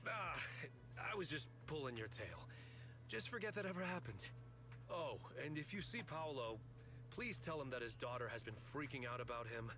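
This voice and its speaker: A regular-guy quest character you would meet outside a game's mine, acted by a man, middle-pitched and casual over a clear hum. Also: animated, normal speed, close to an everyday voice. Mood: casual, sheepish. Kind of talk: video game voice acting.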